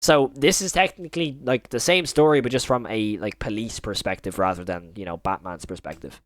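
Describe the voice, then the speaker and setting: A man's voice: fairly low pitch, a little fast, no echo. Man, reaction video host, casual commentary, informal, rambling delivery, mild swearing.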